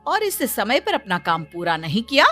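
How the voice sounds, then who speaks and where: A woman's voice: medium pitch, very animated, moderate pace, a bit bright, faint music behind. A woman voicing a domineering, wealthy, scheming mother-in-law in a cartoon story, with a haughty, commanding manner. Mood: scornful, strict. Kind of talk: Hindi animated story voice acting.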